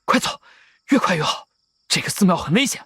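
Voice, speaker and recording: An actor playing a wary young Taoist leader in an audio drama, giving clipped, urgent, commanding lines. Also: male voice, high voice, big pitch swings, a little fast, sharp tone, a little hiss or hum, full character voice. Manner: clipped, terse orders. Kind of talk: Chinese audio drama acting.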